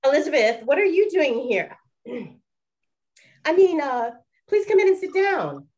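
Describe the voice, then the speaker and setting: A woman's voice: high voice, big pitch swings, slow pace, a little mellow, full character voice. A woman playing a chatty, wistful lady detective of the 1920s in a radio-style play. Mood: surprised.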